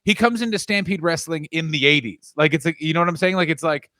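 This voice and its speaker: A man's voice: fairly high pitch, fast talker, bright, forceful tone, no echo. A man co-hosting a podcast in casual comedic chat, joking and cutting in on his partner. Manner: joking, interrupting, playful. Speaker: podcast co-host.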